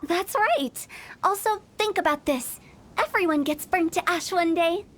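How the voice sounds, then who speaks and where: A woman's voice: very high voice, unhurried. A woman voicing a playful, macabre young funeral director with a teasing, mischievous, giggly way of talking. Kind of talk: game cutscene voice acting.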